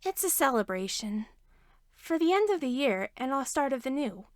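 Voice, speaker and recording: A woman's voice: high voice, moderate pace, no room sound, studio quality, pitched above the performer's own voice. A woman voicing a chatty, caring, nerdy woman inventor in a fanfiction audiobook, talking informally. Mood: subdued, hesitant. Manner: animated, informal, enthusiastic talk.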